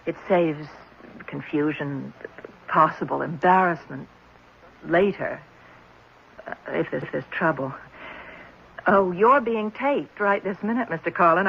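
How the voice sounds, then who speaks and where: A performer voicing a brisk, businesslike woman who runs a children's home, in a 1970s radio drama. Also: fairly high pitch, vocal fry. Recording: muffled, faintly noisy old radio recording.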